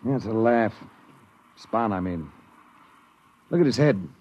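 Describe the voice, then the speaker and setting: An American man playing a cynical, slangy spaceship crewman with a rough voice in 1950s radio style. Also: fairly low pitch, expressive, a little slow, darkish tone. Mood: sardonic. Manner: slangy storytelling. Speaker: actor in a radio drama. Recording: muffled vintage radio recording.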